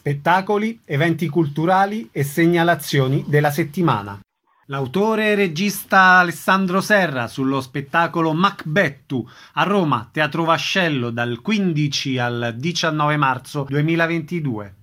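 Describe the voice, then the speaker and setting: A man's voice: a little high, moderate pace, sharp tone. A man hosting on radio, presenting and asking interview questions. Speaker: radio host.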